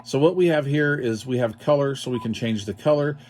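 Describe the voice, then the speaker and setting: A man's voice: medium pitch, a little fast, a little mellow, slight background noise. A man explaining things in an informal, step-by-step way, as in a hands-on tutorial, often checking in with the listener. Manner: informal, explanatory, checks in with "all right" and "okay". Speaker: radio product demonstrator.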